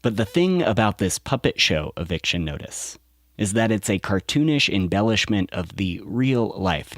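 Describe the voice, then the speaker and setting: A man's voice: lowish voice, no echo, clean studio sound. A man delivering scripted documentary narration as the narrator of a podcast.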